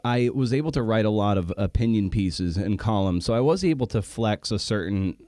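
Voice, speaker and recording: A man's voice: fairly low pitch, expressive, a little fast, dark tone, dry recording. A man hosting a casual conversational interview, thinking aloud in a rambling way and repeating words for emphasis. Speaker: podcast host.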